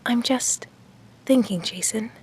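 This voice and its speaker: A woman playing a haughty, scheming rich teenage girl in a fan audio drama, speaking in a breathy, arch near-whisper. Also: fairly high pitch, slow pace, exaggerated voice. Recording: music bed behind voice.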